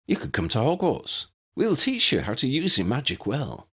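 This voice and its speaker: A man plays an excitable teenage boy wizard in an audiobook. The pitch is raised and lively, with a keen, persuasive temper. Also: moderate pace, breathy edge, exaggerated voice. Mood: encouraging.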